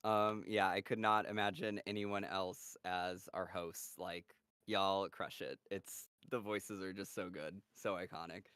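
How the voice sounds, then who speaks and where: A man's voice: low voice, steady tone, unhurried, few pauses, fairly bright tone, clean tone, dry recording. A man hosting a live-stream table read and Q&A, chatting casually and laughing often. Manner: laughs often, friendly wrap-up plugs.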